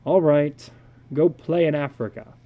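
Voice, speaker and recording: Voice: mid-range voice, a little slow, mellow, close to an everyday voice. A reader voicing a worried, defensive father in a dramatic story reading, with an earnest, questioning manner. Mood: stern.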